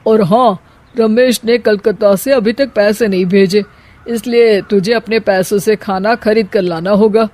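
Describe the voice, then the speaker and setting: A woman voicing a kind but shrewd middle-aged mother-in-law in an animated comedy story, talking in a homely, elder-sister way. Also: mid-range voice, a little slow, natural voice. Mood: bossy.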